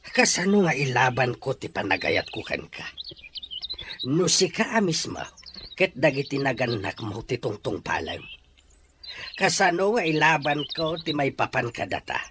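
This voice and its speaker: A man's voice: mid-range voice, very animated, unhurried, noisy background. A man playing a heartbroken, poor middle-aged father in an Ilocano audio drama, pleading and regretful. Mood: pained, pleading. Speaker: voice actor in a radio-style drama. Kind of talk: Ilocano radio drama acting.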